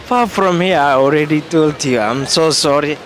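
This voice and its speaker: A man's voice: fairly high pitch, normal speed, a crowd in the background. A man from Somalia with a Somali accent, hosting a casual street quiz outdoors. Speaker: street interviewer, YouTube host. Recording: noisy outdoor urban street.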